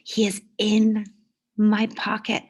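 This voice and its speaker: A woman voicing a mysterious, playful man in a children's storybook, telling secrets with hushed, dramatic delivery. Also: medium pitch, monotone, slow.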